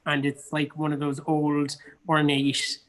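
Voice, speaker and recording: A man's voice: a little high, completely flat delivery, a little slow, fairly bright tone. A man with a Cork Irish accent, chatting casually as an interview guest in an emphatic way, repeating phrases for stress. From Cork, Ireland. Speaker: interview guest, stage actor. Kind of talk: casual chat. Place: remote online video chat.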